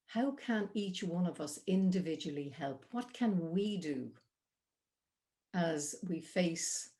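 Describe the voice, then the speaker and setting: Meditation host: female, lowish pitch, very unhurried, earnest introductory delivery. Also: darkish tone.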